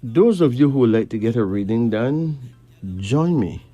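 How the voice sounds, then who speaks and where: A man from Barbados with a Caribbean accent, hosting a late-night radio show in a measured, deliberate way with pauses between phrases. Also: medium pitch, animated, dark tone, dry recording, dull audio. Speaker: radio host giving readings to callers. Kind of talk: late-night radio talk, slow monologue and caller chat. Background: faint music.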